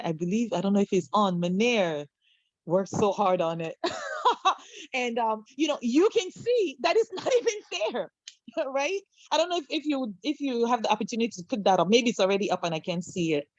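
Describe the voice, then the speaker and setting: A woman's voice: mid-range voice, a little mellow, dry recording, lo-fi sound. A woman from Cameroon speaking on a video call panel, impassioned, full of fillers, restarts and rhetorical questions.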